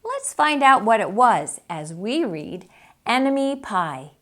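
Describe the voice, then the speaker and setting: Female story-time host, animated pitch, introducing picture books to children. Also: slow pace. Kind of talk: read-aloud introduction for children.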